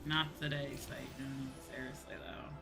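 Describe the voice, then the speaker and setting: A young American woman chats casually on a livestream in a fairly low-pitched voice. She is animated and sweary, slipping into exasperated quoted exchanges as she talks. Music plays clearly behind her. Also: measured pace. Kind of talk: casual chat while gaming on stream. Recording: background music under the voice.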